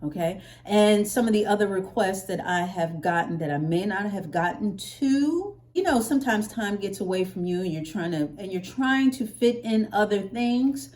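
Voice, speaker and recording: An American woman vlogger chatting casually to her viewers in a playful, expressive way, stretching words for emphasis. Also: mid-range voice, normal speed, few pauses. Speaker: hair care vlogger.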